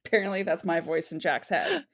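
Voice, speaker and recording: A woman's voice: fairly low pitch, a little fast. A woman podcast co-host in casual conversation. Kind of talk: casual chat.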